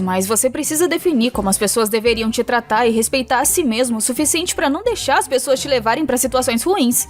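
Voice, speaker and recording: High-voiced brazilian girl telling stuff cartoon-storytime style, super animated, bit of music behind. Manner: colloquial, acts out quotes and dialogue. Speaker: narrator of an animated video dub. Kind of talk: animated storytime narration, casual and expressive. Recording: faint background music.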